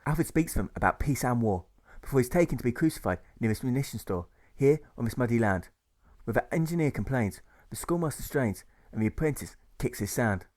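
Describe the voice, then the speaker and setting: Guy reading a rhyming story aloud, really animated, bouncy rhythm. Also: medium pitch, fairly quick. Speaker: author reading own audiobook. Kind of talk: scripted rhyming narration.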